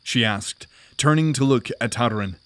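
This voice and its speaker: Male narrator for a fantasy audio drama, steady and even. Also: mid-range voice, normal speed, no echo.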